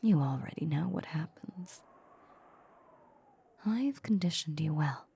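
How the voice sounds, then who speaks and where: Slow, whispery seductive vampire woman, acted by a woman. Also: sits low, lively intonation, heavily acted. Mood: possessive, teasing. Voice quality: soft, breathy, whispery. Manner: slow, lingering, teasing. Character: seductive, possessive female vampire, dark and doting. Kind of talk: breathy ASMR roleplay, intimate whispering. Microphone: close microphone.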